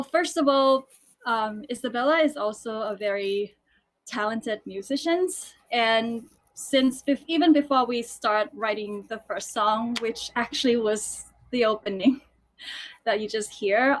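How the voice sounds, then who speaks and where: A woman's voice: a little high, slow pace, rough recording. A woman who grew up in Thailand, a musical theatre composer, chatting on a video call with many fillers and restarts. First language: Thai. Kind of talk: casual video call chat.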